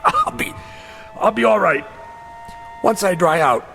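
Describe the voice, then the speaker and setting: An actor playing an exhausted, desperate lost traveler in a radio drama. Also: male voice, sits high, animated, slow, gravelly, a bit bright, soft background music, exaggerated voice. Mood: weary, puzzled. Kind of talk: dramatic radio acting with first-person narration.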